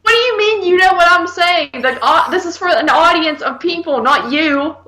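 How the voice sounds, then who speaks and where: A woman's voice: very high-pitched, animated, normal speed, crowd noise. A woman co-hosting a film review podcast, chatting casually and argumentatively in blunt, slangy speech peppered with "like.". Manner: blunt, exasperated, slangy, repeatedly hedging with "like".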